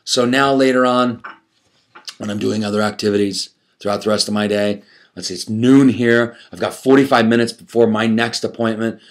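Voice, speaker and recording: A man's voice: lowish voice, steady tone, normal speed. A man giving a conversational motivational coaching talk to an audience, repeating phrases emphatically, asking rhetorical questions and acting out his inner self-talk.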